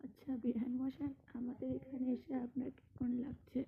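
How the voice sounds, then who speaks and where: A woman voicing a hearty, commanding older Bengali mother-in-law in a cartoon story, with a creaky voice. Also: high-pitched, level tone, normal speed, phone-quality sound. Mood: welcoming. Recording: noisy track with clicks.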